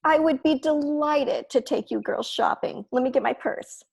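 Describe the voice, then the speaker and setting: A woman's voice: high voice, normal speed, gravelly, darkish tone. A woman playing a chirpy, doting, pushy sitcom mother in a table read over a video call. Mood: delighted, chatty. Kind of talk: comedy script table read. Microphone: computer on video call.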